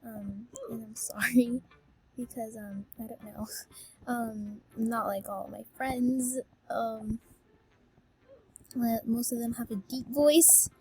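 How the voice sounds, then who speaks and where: A teenage girl chatting casually while she plays a game, high-pitched and bubbly, with lots of ums. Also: fairly even intonation, takes it slowly, dark tone, breathy edge, faint music behind, poor audio. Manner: bubbly, full of filler ums, exclaims at game fails. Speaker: gaming YouTuber. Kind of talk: casual voice-reveal chat while gaming.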